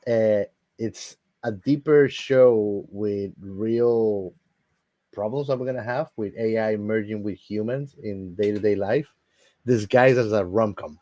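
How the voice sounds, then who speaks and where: A man's voice: lowish voice, slow, mellow. A man co-hosting a podcast, chatting casually in a jokey, teasing way. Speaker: podcast co-host. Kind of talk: casual chat.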